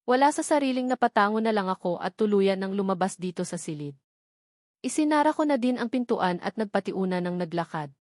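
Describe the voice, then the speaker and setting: A Filipino-accented woman narrates a first-person novel as an audiobook reader. Her voice sits at an ordinary middle pitch for a woman. The sound is dry, with very little room around it. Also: expressive, a little fast, a little mellow, no echo.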